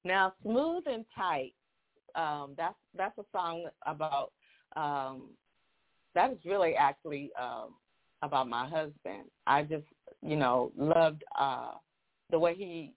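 A woman, a guest singer, talking in a casual phone interview, halting with many ums and laughing often. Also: low voice, animated. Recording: tinny phone line.

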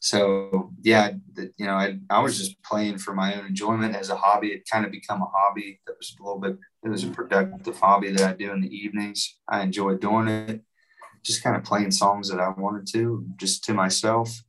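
Low-voiced West Virginian man, flat delivery. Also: moderate pace, far from the mic, faint music behind, poor audio. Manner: rambling, many likes and you-knows. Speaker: musician guest. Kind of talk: casual interview chat.

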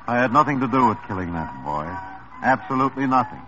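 An actor playing a wary, evasive mob lawyer in a 1950s radio drama, speaking in a slow drawl. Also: male voice, medium pitch, animated, natural voice. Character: smooth, nervous male mob lawyer. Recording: dull old radio broadcast with music.

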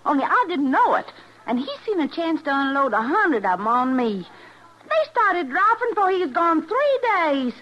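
A woman's voice: high voice, very animated, normal speed, slight background noise. An actress playing a fiery, vengeful young ranch girl in a radio western, with a folksy rural American West accent and blunt speech. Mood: bitter, stubborn. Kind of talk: old-time radio western acting. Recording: muffled vintage radio recording.

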